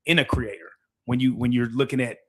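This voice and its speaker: A man's voice: mid-range voice, a little fast, fairly bright tone. A man interviewing a guest, asking questions hesitantly and restarting them midway.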